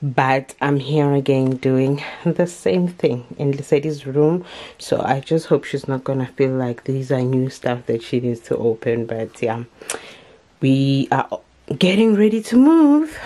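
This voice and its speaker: Low, slow woman vlogging. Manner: chatty, relaxed, frequent ums. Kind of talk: casual vlog chat. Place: home kitchen, indoors.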